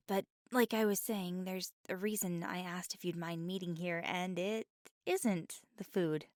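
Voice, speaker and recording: A woman's voice: fairly low pitch, no echo, studio quality, close to an everyday voice. A woman playing a nervous, apologetic young woman with water powers in an ASMR roleplay, stammering and slipping into whispered asides. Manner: drops into soft whispered asides.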